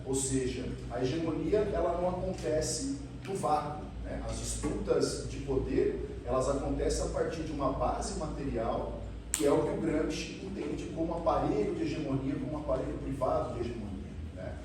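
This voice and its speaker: A man's voice: on the high side, moderate pace. A man with a Brazilian accent giving an academic presentation as a professor, explaining step by step and often tagging phrases with a checking question. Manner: explanatory, often ends phrases with a rhetorical "né" tag. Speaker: university professor presenting research. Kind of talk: academic conference presentation, semi-improvised. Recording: echoey room, distant mic, clear background noise.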